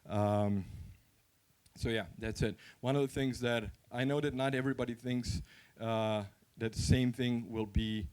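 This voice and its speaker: Male conference speaker, Croatian accent, mid-pitched voice. Candid, informal, anecdotal delivery, conversational throughout. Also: a little mellow.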